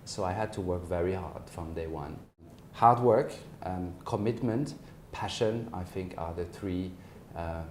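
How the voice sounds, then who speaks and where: Deep-voiced Frenchman in his forties. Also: darkish tone. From France. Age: 40s. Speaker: company managing partner, interview guest. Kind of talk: interview answers. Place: office, indoors. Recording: roomy echo, faint background noise.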